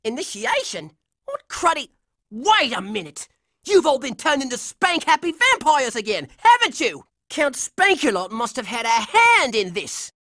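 A woman's voice: high-pitched, huge pitch swings, measured pace, bright, forceful tone, no echo, studio quality, exaggerated voice. A woman voicing a scrappy, tough-talking, hot-headed boy hero in a cartoon video game, brash and combative. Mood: puzzled, annoyed.

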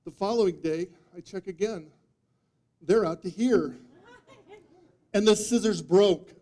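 Guy in his 50s doing a funny tall tale speech, kinda high but soft-edged voice, deadpan and dramatic. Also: measured pace. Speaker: speech contest speaker. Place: meeting room before a live audience, indoors. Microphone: distant audience camera microphone.

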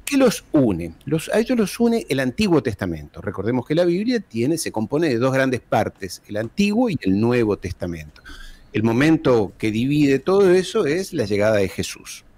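A man's voice: medium pitch, sing-song, moderate pace, darkish tone. A man, a guest historian speaking emphatically, posing rhetorical questions and repeating key phrases, talking into a desk microphone in a small room at home. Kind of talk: interview over video call. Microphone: large desk microphone close up.

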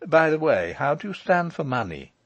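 Breezy, teasing lawyer nephew, acted by a male reader: raised pitch with big swings, eager and emphatic. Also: sing-song, normal speed, no room sound. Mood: eager, kindly. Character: brisk, teasing, exuberant gentleman lawyer nephew.

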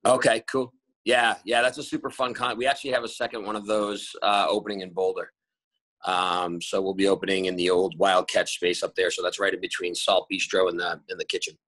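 Man rambling on a video call, bright tone. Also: low voice, level tone, fairly quick, dry recording, low-quality recording. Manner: rambling, many fillers and restarts. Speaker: restaurant group owner. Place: bright room at home. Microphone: laptop camera on a video call.

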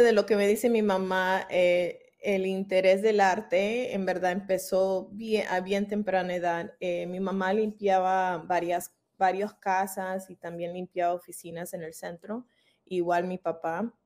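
Flat-toned woman from Philadelphia. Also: mid-range voice, unhurried. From Philadelphia, USA. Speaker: muralist guest. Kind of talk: interview answers on a video call. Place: room at home. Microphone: laptop webcam.

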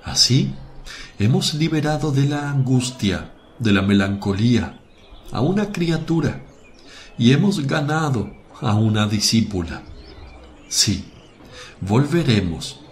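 A man playing a gentle, compassionate, solemn teacher in a religious radio drama. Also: mid-range voice, animated, slow pace, long pauses, a little hiss or hum. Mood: calm, compassionate.

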